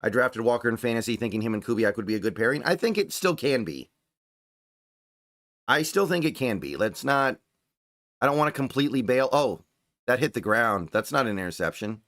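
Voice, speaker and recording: A man hosting a casual sports livestream, thinking aloud and repeating points for emphasis as he answers viewer chat, his voice starting to go a little hoarse. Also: medium pitch, lively intonation, brisk, fairly bright tone, no echo, studio quality. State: voice getting strained, starting to go hoarse.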